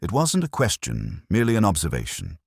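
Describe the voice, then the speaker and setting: A man plays a melancholy police inspector telling his own mystery story. The voice is extremely low and husky, with lively shifts of pitch. The delivery is measured, literary and brooding, as in a radio detective drama. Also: dry recording, studio quality, heavily acted. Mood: pensive. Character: weary, melancholy male English police inspector narrating his case. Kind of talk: scripted first-person mystery narration.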